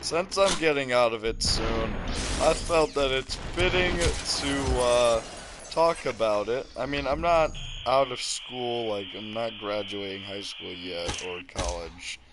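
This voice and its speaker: A teenage boy hosting a gaming channel, rambling and joking over his gameplay with loud sudden reactions and self-aware asides. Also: mid-range voice, slow pace. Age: teens. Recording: clear background noise.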